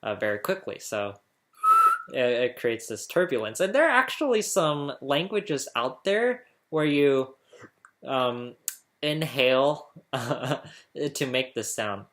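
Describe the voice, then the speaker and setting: A man's voice: medium pitch, big pitch swings, slow, a bit bright, slight background noise. A man hosting a casual tutorial, chatty and laughing often as he explains, recorded on a laptop mic indoors. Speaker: host explaining phonetics. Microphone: laptop webcam mic.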